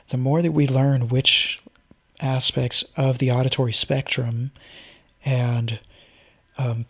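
A man giving an unscripted explanatory monologue, speaking in a thoughtful, speculative way with frequent pauses and ums. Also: medium pitch, breathy edge.

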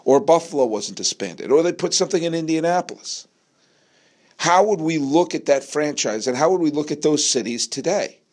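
A man's voice: on the high side, animated, normal speed, a little mellow. An American man from New England giving an opinionated solo sports monologue, speaking emphatically and repeating phrases for effect. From New England, United States. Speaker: sports podcast host.

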